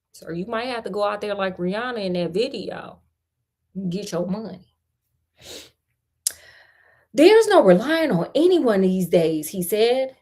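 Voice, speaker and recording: A woman with an American accent reads a fairy tale in an animated style, with playful, chatty, slangy asides. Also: medium pitch. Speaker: storyteller and host.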